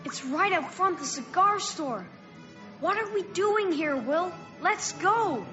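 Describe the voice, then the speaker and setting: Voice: very high-pitched, expressive, slow, pushed and bright, dull audio, faint music behind, exaggerated voice. An actor playing an anxious, wary thirteen-year-old boy who questions everything nervously, in a radio drama. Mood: alarmed.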